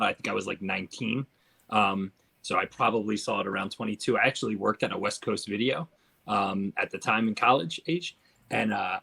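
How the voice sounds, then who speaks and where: A man's voice: on the low side, gravelly, a bit bright, breathy edge. A middle-aged man hosting a casual film panel, reflective and often checking in with the others. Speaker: host of film discussion panel. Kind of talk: casual chat.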